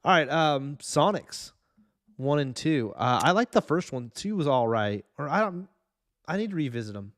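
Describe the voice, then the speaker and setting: A man's voice: a little high, animated, moderate pace, fairly bright tone. A man hosting a casual, informal group discussion, often checking in with his co-hosts. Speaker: podcast host. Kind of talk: casual chat, movie ranking discussion.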